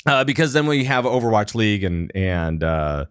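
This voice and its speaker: A man's voice: on the low side, big pitch swings, normal speed, clean tone, dry recording, studio quality. A man hosting a casual gaming podcast, talking loosely with lots of fillers and restarts. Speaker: podcast host.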